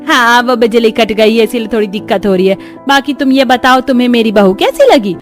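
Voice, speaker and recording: A woman's voice: a little high, fairly quick, soft background music, close to an everyday voice. A woman voicing a fussy, matronly mother-in-law in a cartoon family story. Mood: concerned. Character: middle-aged or older mother-in-law, fussy matriarch.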